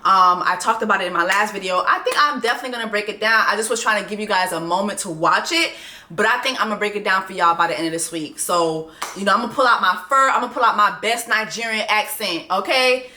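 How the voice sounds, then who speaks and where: A woman's voice: mid-range voice, fast talker, bright, forceful tone. A woman vlogger giving a profane, fired-up, mocking rant about a docuseries. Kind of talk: ranting commentary on a docuseries. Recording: echoey room.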